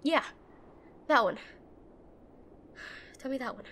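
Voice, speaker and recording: A woman playing a brusque, protective and frightened tsundere girlfriend in a roleplay audio drama, speaking urgently and haltingly straight to the listener. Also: high-pitched, lively intonation, fairly bright tone, slight background noise, exaggerated voice. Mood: urgent, pleading. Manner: bossy, urgent, emotional, halting.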